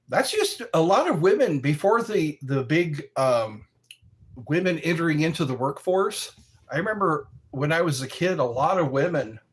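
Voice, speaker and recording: A middle-aged man hosting a live stream, rambling in casual chat, reacting to viewers and laughing at his own jokes. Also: on the high side.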